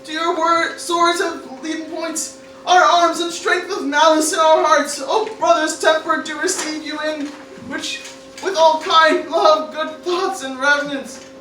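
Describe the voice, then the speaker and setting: Woman acting anxious teenage cartoon boy, slow halting delivery, theatrical parody radio play, roomy distant recording. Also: high-pitched, steady tone, fairly bright tone. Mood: earnest, halting. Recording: echoey room, distant mic, faint noise.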